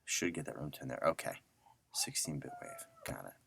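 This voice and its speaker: A man's voice: very deep voice, wildly swooping pitch, moderate pace, vocal fry, pushed and bright, a little hiss or hum. A man giving a casual audio editing tutorial, informally muttering to himself as he works. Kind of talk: casual screen-recorded software tutorial.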